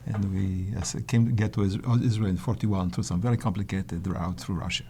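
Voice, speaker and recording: A man's voice: low-pitched, fairly even intonation, brisk, dark tone, slight background noise. An older man from Poland, whose first language is Polish, recalling his early life in a hesitant interview manner with many restarts. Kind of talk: reflective interview answers.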